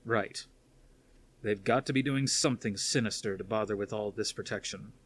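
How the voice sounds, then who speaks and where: Man reading as a steady, fatherly older commander. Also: on the low side, normal speed, husky. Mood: serious.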